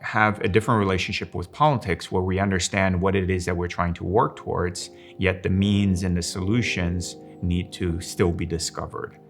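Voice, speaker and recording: A man's voice: low voice, fairly even intonation, moderate pace, hardly any pauses, soft background music. A man in his sixties from Finland, raised in Italy, speaking reflectively in an interview and explaining ideas thoughtfully. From Finland, raised in Rome, Italy. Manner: thoughtful, explanatory, poses rhetorical questions. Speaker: strategic designer and professor of practice.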